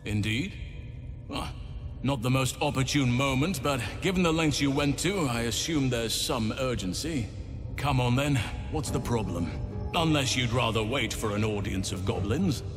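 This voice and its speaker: Game lead: formal, dryly wry male elf druid played by an actor, mid-pitched, reverberant, music behind. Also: lively intonation, lightly acted. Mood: wry, grateful. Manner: measured, formal, dry. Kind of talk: video game dialogue.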